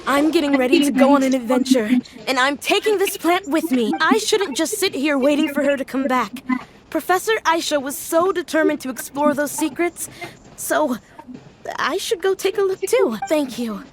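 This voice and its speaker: A woman's voice: sits high, animated, normal speed, crowd noise. A woman playing an earnest, hopeful young woman with a resolute manner in a video game. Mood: hopeful resolve.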